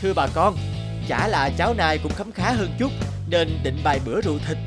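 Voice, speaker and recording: A man's voice: on the high side, lively intonation, fairly quick, loud music behind the voice, natural voice. A man voicing a burly, gullible young woodcutter with a boastful, hot-tempered streak in a cartoon. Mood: earnest, proud. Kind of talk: cartoon voice acting.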